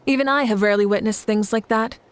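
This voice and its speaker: An actress playing a confident, composed female leader in a fantasy video game, wry and measured. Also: medium pitch, fairly even intonation, slight background noise, natural voice. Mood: amused, wry. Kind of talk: video game character acting.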